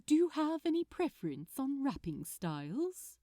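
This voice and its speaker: A woman plays a sweet, prim gentleman with impeccable manners. His cheerful, genteel speech swings widely in pitch, like a lilting storybook character, recorded close and dry. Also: very animated, moderate pace, dry recording. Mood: cheerful, helpful. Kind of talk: fan fiction audiobook dialogue.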